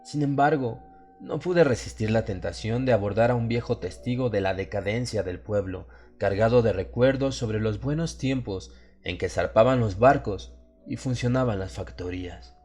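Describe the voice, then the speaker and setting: Low-voiced man narrating a horror audiobook over music. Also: normal speed, darkish tone. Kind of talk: dramatic scripted horror story narration. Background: music under the voice.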